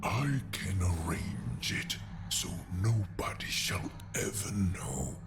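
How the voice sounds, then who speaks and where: Sinister devilish entity, gravelly whisper, drawn-out pace, silky menace, formal wording, horror cartoon villain. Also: male voice, low-pitched, background noise, exaggerated voice. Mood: cold, solemn. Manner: slow, deliberate, formal. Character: sinister devilish male entity, coaxing, silkily menacing deal-maker. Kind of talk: analog horror voice acting.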